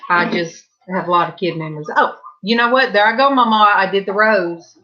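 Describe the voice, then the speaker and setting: A woman's voice: medium pitch, a little fast, pushed and bright, highs cut off. A middle-aged woman with a Southern US accent, chatting casually at home and rambling to her viewers while she paints. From Southern California. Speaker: vlogger. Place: home, indoors.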